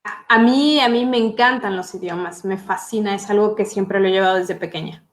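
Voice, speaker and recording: A woman translator chats on a video call, easing into her sentences with fillers. Her voice sits at an average pitch. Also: fairly quick. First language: Spanish. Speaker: sworn translator. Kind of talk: casual video call chat.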